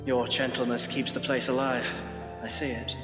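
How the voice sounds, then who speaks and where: A man acting a kindly, courteous gentleman in a period audio drama, earnest and a little hesitant. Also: mid-range voice, flat delivery, moderate pace, natural voice. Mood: tender, concerned. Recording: echoey hall reverb, faint music.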